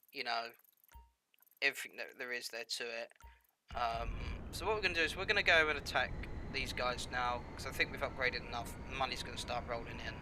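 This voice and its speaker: A man's voice: mid-range voice, noisy background. Nasal man with a cold, rambling. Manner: rambling, many ums and you-knows. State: has a cold, a bit nasal. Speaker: gameplay commentator. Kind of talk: casual gameplay commentary.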